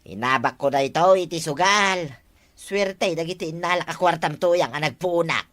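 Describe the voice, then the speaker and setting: A man's voice: on the high side, moderate pace, fairly bright tone, no room sound, close to an everyday voice. A man with an Ilocano accent playing a gleeful village gambler in a comedy drama. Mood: sly, boastful. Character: gleeful male village gambler flush with winnings.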